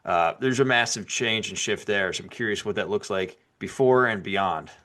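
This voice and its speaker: A man's voice: fairly low pitch, normal speed, sharp tone. A man hosting a podcast, asking questions in a casual, upbeat way with frequent restarts. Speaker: podcast host. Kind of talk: podcast interview and ad read.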